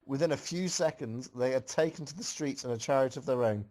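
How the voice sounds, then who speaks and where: Male reader, middle pitch, casual amateur storytelling with off-the-cuff asides to a group. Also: a little fast, a little mellow. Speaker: reader for a comedy fan fiction group.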